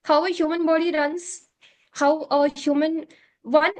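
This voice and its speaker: Indian woman, Hindi her first language, high-pitched, explains earnestly in an interview, restates each point. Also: flat delivery, a little slow. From South India. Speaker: curriculum developer, interview guest.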